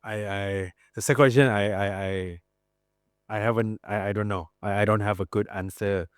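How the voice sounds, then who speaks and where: Male seminar panelist, lowish voice, close dry sound, informal academic delivery that halts and repeats itself. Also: fairly even intonation, clear, resonant tone, dry recording. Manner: halting, repeats words, rhetorical tag questions. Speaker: guest speaker, political science academic. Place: seminar room.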